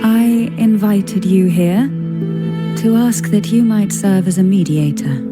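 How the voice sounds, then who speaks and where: A woman's voice: medium pitch, takes it slowly, over loud music, natural voice. A woman voicing an elegant, enigmatic noblewoman in a video game, speaking in a formal, poised and languid manner. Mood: calm, probing. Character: elegant, enigmatic noblewoman, head of a powerful family. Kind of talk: video game story dialogue.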